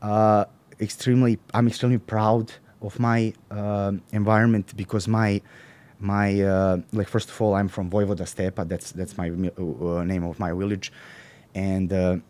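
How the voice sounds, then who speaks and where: A young man with a Serbian accent speaks in a lowish, dark voice. He talks casually as an interview guest, pausing, repeating words and hunting for the right phrase. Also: little pitch movement. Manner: halting, searching for words, many fillers and restarts. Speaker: guest, professional bodybuilder.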